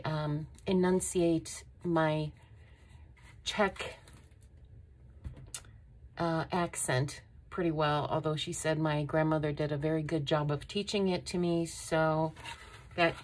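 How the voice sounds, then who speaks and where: Slow-spoken woman showing off craft paper. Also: fairly low pitch, slight background noise. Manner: unhurried, admiring, with frequent ums. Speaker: craft vlogger. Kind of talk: casual show-and-tell haul. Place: indoors at a craft table. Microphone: overhead camera on a tabletop.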